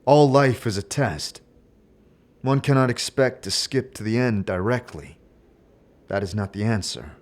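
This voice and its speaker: A man's voice: fairly low pitch, darkish tone. A man playing a brooding, persuasive immortal wanderer in a fantasy audio drama.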